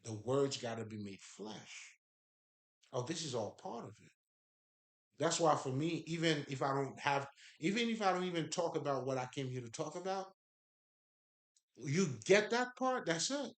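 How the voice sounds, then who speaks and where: A man's voice: a little high, expressive, moderate pace. Haitian-accented man in an echoey room. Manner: loose, profane, emphatic, laughs often. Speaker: spiritual speaker. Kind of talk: casual spiritual talk.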